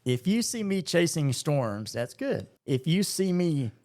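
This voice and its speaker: Calm, steady radio man. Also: on the high side, a little slow, dry recording. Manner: calm, steady, rambling storyteller. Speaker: radio host and storm chaser. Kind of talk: casual conversational interview.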